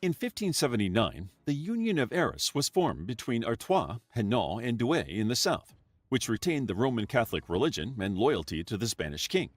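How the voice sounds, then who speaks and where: Male documentary narrator with a slightly husky voice and an animated delivery, over faint background music. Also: mid-range voice, no room sound. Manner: even, measured reading. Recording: narration from a played video with music under it.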